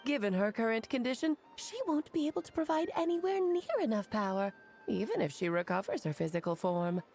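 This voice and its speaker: A woman's voice: on the high side, sing-song, a little fast, darkish tone, soft background music. A woman voicing a self-assured, playful mage in a video game, chatty and teasing as she lectures. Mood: lecturing. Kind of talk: animated video game dialogue.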